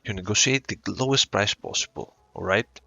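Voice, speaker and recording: A man's voice: lowish voice, moderate pace, creaky, sharp tone. A man giving casual game commentary as a streamer, joking and sardonic. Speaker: gaming streamer. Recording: dull, echoey room recording.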